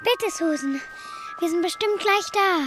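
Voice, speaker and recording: A woman's voice: extremely high pitch, unhurried. A woman playing an earnest, compassionate, trusting little girl in a German audio drama. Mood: earnest, pleading. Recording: music under the voice.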